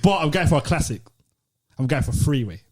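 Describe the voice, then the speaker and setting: A man's voice: a little high, very animated, brisk, darkish tone, slightly rough. A British man co-hosting a podcast, telling stories in casual, slangy banter. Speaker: podcast co-host.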